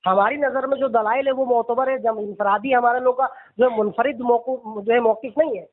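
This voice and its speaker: Very high-voiced man objecting on phone line. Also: steady tone, brisk. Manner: firm, repetitive, objecting. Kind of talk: argumentative religious debate over a phone call. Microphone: phone call. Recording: tinny, band-limited phone call recording.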